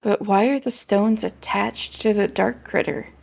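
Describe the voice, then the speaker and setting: A woman's voice: medium pitch, steady tone, a little slow, vocal fry, darkish tone. A woman streaming a video game, chatting casually and reading story dialogue aloud, with game music behind her.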